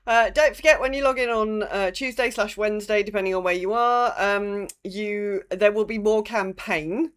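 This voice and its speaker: A woman's voice: mid-range voice, normal speed, hardly any pauses. A woman co-hosting a gaming podcast in casual chat, talking in an informal, rambling way with some mild swearing. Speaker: podcast co-host.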